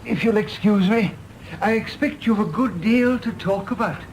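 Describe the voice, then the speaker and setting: A man's voice: high-pitched, moderate pace, slight background noise, natural voice, low-quality recording. A man playing a fussy, genial, deferential old English family retainer in a radio drama, courteous and hesitant, with an English accent. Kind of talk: British stage-play radio drama acting.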